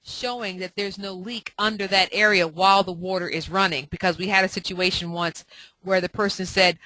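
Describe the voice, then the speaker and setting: A woman hosting a professional web conference call, putting questions in a somewhat halting way. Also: mid-range voice, fairly even intonation, pushed and bright, no room sound, rough recording. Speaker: host, inspection mentor.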